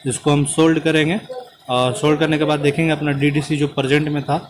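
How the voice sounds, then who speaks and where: A young man talks through a car repair step by step as he works, with a slightly higher voice than most men. He is recorded close on a phone outdoors by the car. A faint hum of traffic and vehicles sits behind him. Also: level tone, fast talker. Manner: explains step by step while working. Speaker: car mechanic. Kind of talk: hands-on repair tutorial. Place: inside a car and at the open engine bay, outdoors.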